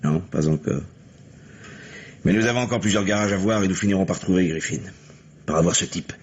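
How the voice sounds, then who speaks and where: An actor playing an earnest, methodical police lieutenant in a French mystery radio play, speaking deliberately. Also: male voice, low-pitched, slightly rough. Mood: puzzled, determined. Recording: muffled, hissy vintage radio recording.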